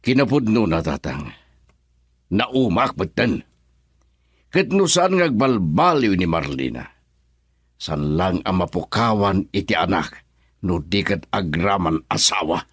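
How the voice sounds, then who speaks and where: A man's voice: low voice, very animated, unhurried, pauses often, husky, dry recording, studio quality, heavily acted. A man with an Ilocano accent playing an assertive man in a family dispute in a radio drama. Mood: earnest.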